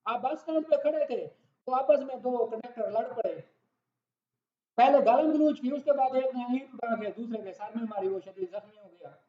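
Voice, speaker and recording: A man's voice: very high voice, normal speed. A man with a Pakistani accent gives an explanatory law lecture in a conversational way, putting questions to his listeners. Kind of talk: explanatory lecture with everyday examples. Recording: echoey room, dull lo-fi sound.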